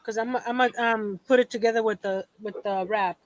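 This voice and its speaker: Woman panel guest, high voice, stammering and giggly, dry close sound. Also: steady tone, brisk, no room sound, low-quality recording. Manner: laughs often, stammers on repeated words. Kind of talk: casual livestream chat.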